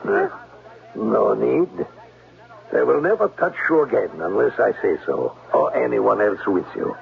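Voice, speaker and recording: An actor playing a menacing, lecherous German groundskeeper with clipped, insinuating, commanding speech and a German accent in a 1970s radio drama. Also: male voice, mid-range voice, very animated, a little slow, vocal fry, heavily acted. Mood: smooth, insinuating. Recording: crackly narrow-band old radio recording.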